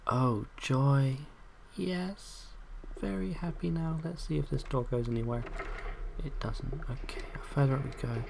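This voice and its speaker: A young man with a bassy voice, hosting a let's play with casual, joking commentary and humming. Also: a little slow, gravelly, a little hiss or hum. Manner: joking, hums and sings to himself, loud bursts.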